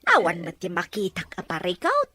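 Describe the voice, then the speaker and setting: A woman's voice: fairly low pitch, huge pitch swings, moderate pace, bright, forceful tone, husky, no room sound, exaggerated voice. A woman acting a deferential, concerned household helper in a radio drama, pleading and coaxing as she speaks.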